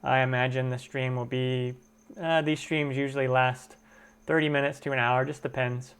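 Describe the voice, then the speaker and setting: A man talks casually on a live stream, with a voice of ordinary, middle pitch. He greets viewers by name and chats through their comments in a relaxed, easygoing way. The sound comes from a computer webcam microphone at home. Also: moderate pace, clean tone. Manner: chatty, greets viewers and answers chat comments. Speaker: cooking channel host.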